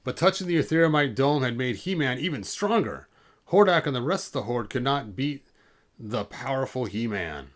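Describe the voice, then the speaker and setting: A man with a mid-pitched voice reads a children's storybook aloud for online viewers. He is informal and loose, tripping on words now and then and stepping out of the text for offhand asides. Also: expressive, normal speed. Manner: informal, stumbles over words and breaks off for asides. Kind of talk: casual livestream storybook read-aloud.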